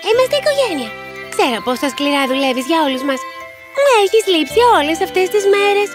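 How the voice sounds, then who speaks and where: Woman playing a high-voiced, eager cartoon girl. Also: big pitch swings, music in the background. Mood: affectionate. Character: bright, proud, inventive young girl, devoted to her father. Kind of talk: cartoon fairy tale dialogue.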